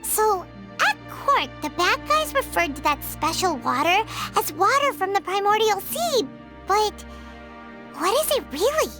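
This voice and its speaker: Squeaky, childlike little fairy companion. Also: female voice, big pitch swings, measured pace, pushed and bright, faint music behind, exaggerated voice. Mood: curious. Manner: childlike, wide-eyed, hesitant questioning. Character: tiny childlike female fairy companion, curious, cheerful, naive. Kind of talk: scripted game dialogue.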